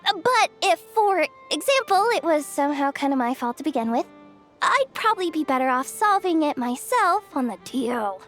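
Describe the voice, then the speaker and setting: High-pitched, brash young schemer. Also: female voice, normal speed. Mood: sheepish, exasperated. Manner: slangy, expressive, dramatic. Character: brash, scheming, excitable young female expo manager. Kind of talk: animated video game voice acting. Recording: music under the voice.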